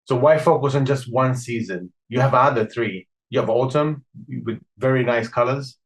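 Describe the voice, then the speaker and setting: A man's voice: mid-range voice, normal speed, low-quality recording. Earnest man, rambling interview questions. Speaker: podcast host.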